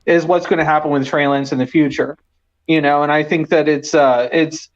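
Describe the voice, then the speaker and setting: A male caller from the San Francisco Bay Area, heard over a dull, lo-fi call line. His voice sits high for a man, and he rambles on in a casual way, tripping over his restarts. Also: steady tone, normal speed.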